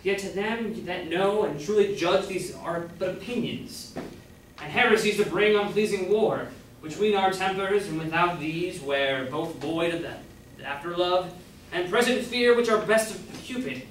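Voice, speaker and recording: Young man acting a high-voiced brooding lover, echoey. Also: measured pace, a bit bright. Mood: ardent. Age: young adult. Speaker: student actor. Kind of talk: amateur staged reading of Jacobean comedy, verse. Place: college room, staged reading. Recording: very echoey room, far off, faint noise.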